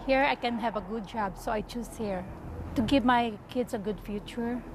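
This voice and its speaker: A woman from the Philippines, speaking emotionally and haltingly in a personal interview. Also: a little high, noisy background. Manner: emotional, halting, repeats words. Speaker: interviewee, mother.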